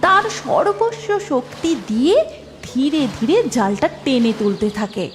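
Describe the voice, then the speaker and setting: High-pitched, lively woman storyteller. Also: unhurried. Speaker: storyteller narrator. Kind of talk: scripted fairy-tale narration. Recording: long reverb, music behind.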